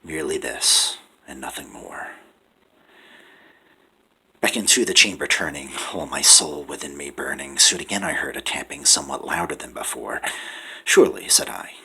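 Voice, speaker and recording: Deep husky man reciting in whispers. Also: moderate pace, rough recording. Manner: hushed, often whispering, swelling to loud pleading. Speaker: poetry reader. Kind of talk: dramatic poetry recitation.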